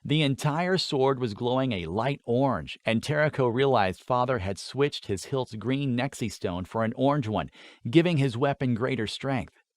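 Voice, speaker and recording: A man's voice: mid-range voice, expressive, moderate pace, no room sound. A man reading scripted fantasy fiction as a dramatic audiobook narrator.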